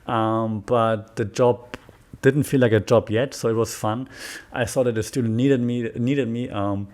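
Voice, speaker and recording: A man's voice: medium pitch, steady tone, unhurried, darkish tone. A man from Germany with a German accent, talking casually on his own podcast, rambling with many fillers and restarts. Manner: rambling, frequent fillers and restarts, laughs often. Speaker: podcast host. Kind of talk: casual solo podcast talk.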